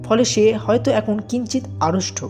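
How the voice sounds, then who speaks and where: A man narrating an audio story in a dramatic, suspenseful and expressive way. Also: high-pitched, moderate pace. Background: background music.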